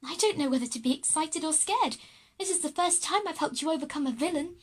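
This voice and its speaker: A woman's voice: high voice, expressive, quick pace, voice pushed up. A woman voicing an anxious, reluctant schoolgirl in a children's audio drama, hesitant and protesting. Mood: nervous. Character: anxious, reluctant schoolgirl heroine, self-doubting.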